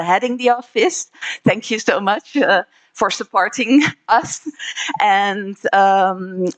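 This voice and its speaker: Friendly woman on stage. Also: on the low side. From Netherlands. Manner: friendly, hesitant, many filler pauses. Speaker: conference speaker. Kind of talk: conference talk with slides. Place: conference stage. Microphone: handheld microphone.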